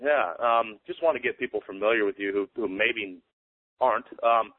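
A man hosting a podcast interview in a casual way, introducing his guest with frequent ums and pauses. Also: fairly low pitch, phone-quality sound. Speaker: podcast host, strength coach.

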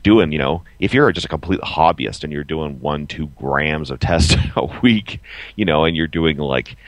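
Very deep-voiced man in his forties, dry sound. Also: no echo. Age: 40s. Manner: halting, emphatic, repeats himself. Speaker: podcast co-host. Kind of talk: casual opinionated chat.

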